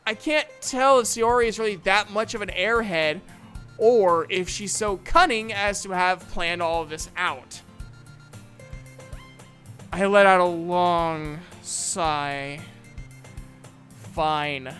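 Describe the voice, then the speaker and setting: A woman voicing a reluctant, sardonic high-school boy who narrates his thoughts in a visual novel fan dub. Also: unhurried, fairly bright tone, faint music behind, close to an everyday voice. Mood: resigned.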